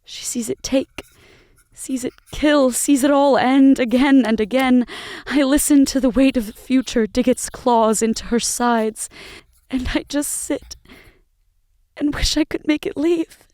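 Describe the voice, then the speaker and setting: Woman acting anguished student, soft dark voice. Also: high voice, steady tone, unhurried, no echo. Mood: anguished, resolute. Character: anguished, determined young female student reading her journal. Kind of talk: dramatic audio drama monologue.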